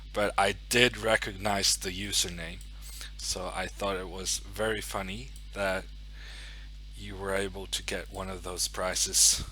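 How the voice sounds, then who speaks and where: A man's voice: on the low side, sharp tone, poor audio. A young man with a Norwegian accent chatting casually on a live stream, thinking aloud and muttering to himself as he sets up. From Norway. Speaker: chess streamer.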